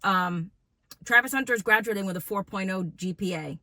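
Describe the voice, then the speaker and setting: A woman's voice: mid-range voice, fairly quick, sharp tone, no room sound, studio quality. A woman giving casual, opinionated sports commentary in an informal, emphatic way, often putting rhetorical questions to her listeners.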